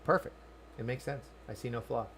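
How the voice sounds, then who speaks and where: A man's voice: mid-range voice, steady tone, brisk, a crowd in the background. A man streaming a game, giving casual commentary and musing aloud informally as he chats with viewers.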